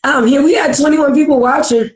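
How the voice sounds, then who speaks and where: A woman with a heavy, raspy voice and a congested throat, hosting a casual live podcast chat, chatty and full of "like" and "um", often clearing her throat. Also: sits high, a little fast. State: congested from allergies. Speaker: podcast host. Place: at home in Virginia.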